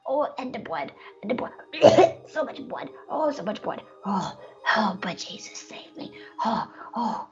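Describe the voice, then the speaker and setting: A woman's voice: medium pitch, very animated, takes it slowly. A woman playing a sickly, poor young boy who stammers and rambles naively in a comedic audio play. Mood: suffering, brave. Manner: stammering, repeats words, rambling. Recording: dull lo-fi audio with music.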